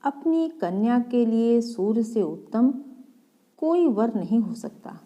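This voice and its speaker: A woman's voice: a little high, big pitch swings, slow pace, mellow, lo-fi sound. A woman voicing a dignified male sage and devoted father who speaks formally in a storybook tale. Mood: assured.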